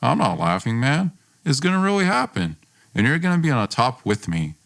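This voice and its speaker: Easygoing young best friend acted by a male storyteller, a dreamer, earnest and reassuring, with lively swings in pitch. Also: a little fast, gravelly. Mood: earnest, hopeful.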